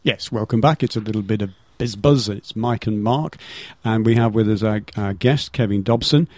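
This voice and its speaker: A man's voice: on the low side, moderate pace, a little mellow, no echo. A man hosting a casual local radio interview in a jokey, bantering way. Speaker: radio show host. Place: radio studio.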